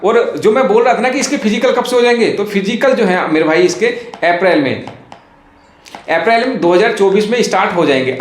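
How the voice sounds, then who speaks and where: A man with a notably high voice gives informal coaching advice. He explains step by step and often repeats key phrases. The sound is clearly roomy, with echo around the voice. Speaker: exam coaching teacher and YouTuber. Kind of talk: informal explainer on police recruitment. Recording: echoey room.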